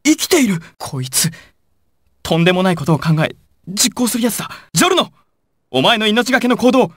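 An actor playing an intense, volatile young male gangster with a husky voice in dramatic video game dialogue. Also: sits high, very animated, brisk, no echo, clean studio sound, exaggerated voice. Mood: astonished, admiring. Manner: dramatic, swinging from controlled analysis to urgent shouting. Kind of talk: dramatic Japanese game dialogue and battle clips.

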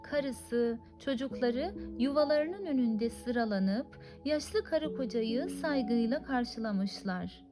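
Woman, high voice, lively swooping intonation, children's fairy tale narration, scripted reading, music underneath. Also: takes it slowly, hardly any pauses. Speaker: storyteller. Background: clearly audible music.

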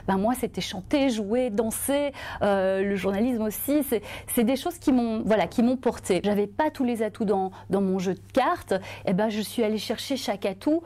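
A woman in her forties from Belgium, a journalist and author, telling her own story to camera in an earnest, expressive way. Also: a little high. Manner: earnest, expressive, lively hand gestures. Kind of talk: testimonial interview to camera.

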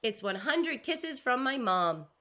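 A woman's voice: fairly high pitch, big pitch swings, slow, sharp tone, pitched above the performer's own voice, exaggerated voice. A woman reading the part of an eager, slightly anxious little schoolgirl in a storybook, listing things earnestly. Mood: earnest, hopeful.

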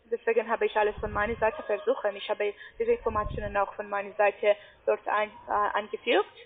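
A non-native woman with a foreign accent, instructing a customer haltingly as a call centre agent. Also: medium pitch. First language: not German. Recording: tinny narrow-band phone line.

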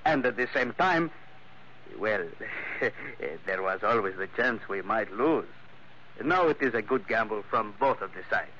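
An actor playing an oily foreign go-between in a 1940s radio thriller, with halting phrasing. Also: male voice, lowish voice, expressive, pushed and bright. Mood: smug amusement. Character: oily, chuckling foreign henchman and negotiator. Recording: noisy, dull 1940s radio recording.

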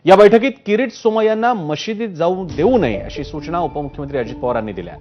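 A man's voice: on the high side, lively intonation, brisk. A man working as a news anchor, reading scripted news narration. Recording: muffled broadcast audio with music bed. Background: news music.

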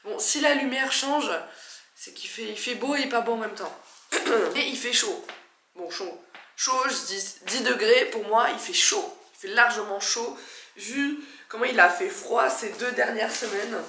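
A young woman with a stuffy, nasal cold talks in a bright, crisp voice, chatty and quick to laugh at herself. She is recorded on a camera across the table in a bright living room whose walls give back a noticeable echo, with faint noise underneath. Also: fairly high pitch. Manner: chatty, excitable, self-mocking. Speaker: plant vlogger. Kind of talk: casual unboxing vlog.

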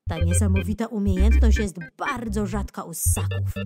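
A woman narrating a children's nature book in an expressive audiobook style, with playful, dramatic pauses and emphasis. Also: medium pitch. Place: studio. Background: keyboard music.

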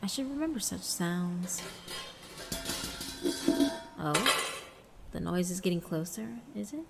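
A woman giving a dramatic first-person narration of a visual novel, theatrical and hushed, with deliberate pauses. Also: on the low side, animated, gravelly. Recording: long reverb, music underneath. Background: background music.

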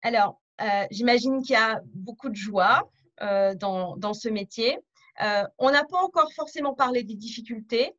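A woman's voice: a little high, normal speed, fairly bright tone. A woman moderating a conference over a video call, asking questions in a friendly way and laughing often. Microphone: webcam on a video call. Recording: dull video-call audio.

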